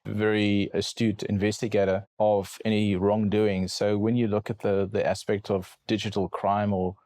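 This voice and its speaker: A middle-aged South African man with a lowish voice that stays flat and even. He answers podcast questions in a measured way, often restarting and repeating a word before going on. The sound is close and dry. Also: dry recording. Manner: measured, repeats words while thinking. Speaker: guest cybersecurity lawyer. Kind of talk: podcast interview.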